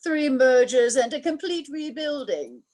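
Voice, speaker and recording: A woman acting a haughty, ancient matriarch in a radio drama, with a husky voice and a grand, condescending manner. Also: high voice, expressive, measured pace, darkish tone. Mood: grandly reminiscing. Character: imperious, haughty, ancient matriarch of eerie store dwellers.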